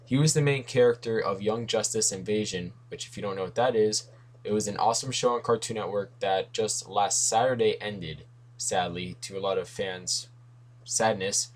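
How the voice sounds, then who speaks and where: A man's voice: fairly low pitch, normal speed. A young man hosting a comics talk video in a casual, rambling way, his voice worn from losing it this week. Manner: rambling, self-correcting, lots of asides.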